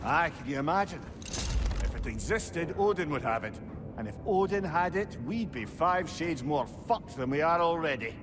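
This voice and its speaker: A man's voice: on the high side, lively intonation, normal speed, over music, natural voice. A man playing a clever, talkative old advisor in a video game, with a Scottish accent and a wry, droll manner. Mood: incredulous. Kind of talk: cinematic video game acting.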